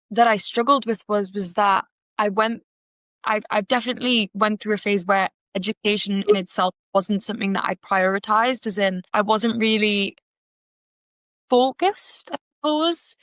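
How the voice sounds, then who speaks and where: A woman's voice: mid-range voice, fairly bright tone, dry recording. A young woman with a Geordie accent from the North East of England, chatting as a podcast guest with lots of restarts and fillers. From Gateshead, North East England. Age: young adult. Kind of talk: casual interview chat.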